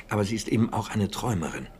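An actor playing a talkative, defensive wartime British intelligence officer in a German radio drama, speaking conversationally and emphatically. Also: male voice, on the low side, a little mellow, slight background noise, natural voice. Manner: conversational, emphatic, with hesitations and scoffing.

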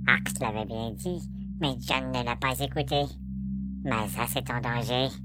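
A man's voice: mid-range voice, measured pace, heavy background noise, close to an everyday voice. A man voicing a brooding, self-assured alien octopod warrior in a science-fiction audiobook, speaking solemnly. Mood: reproachful. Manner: solemn, speaks of himself in third person.

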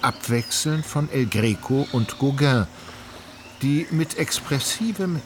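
Music plays clearly behind a man narrating a literary radio drama. His voice sits at a middle pitch, and he tells the story in a measured, faintly wry and ornate way.

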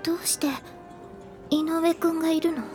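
A woman playing a frail, withdrawn high school girl who speaks quietly and hesitantly in a Japanese anime audio drama. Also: monotone, normal speed, faint music behind. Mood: timid, evasive.

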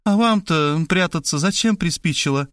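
A man's voice: a little high, moderate pace, fairly bright tone, no room sound, clean studio sound, voice pushed up, close to an everyday voice. A man voicing a jealous, spiteful wife from a novel, cutting and accusatory in manner. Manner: biting, accusatory.

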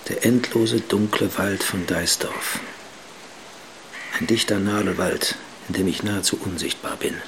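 Watchful lone fugitive, low voice, hushed monologue, radio play, clearly noisy ambient background. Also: male voice, unhurried. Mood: hushed, anxious. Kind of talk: literary first-person radio drama narration.

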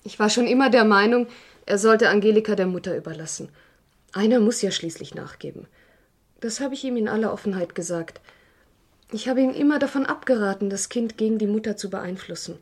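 An actress playing a composed, frank woman under police questioning in a crime radio play, measured and articulate. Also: medium pitch, natural voice. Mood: candid, troubled. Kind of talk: German crime radio drama acting.

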